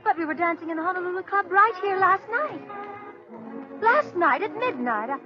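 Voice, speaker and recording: Excitable 1930s radio society lady, high lively voice, music behind, muffled. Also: normal speed. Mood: bewildered. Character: elegant society woman companion, excitable, bewildered.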